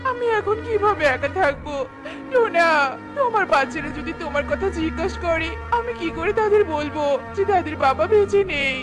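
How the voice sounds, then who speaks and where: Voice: very high-pitched, animated, brisk, pitched above the performer's own voice. A performer voicing a grieving, humble female little bird in a cartoon, with music behind. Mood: distraught, grieving.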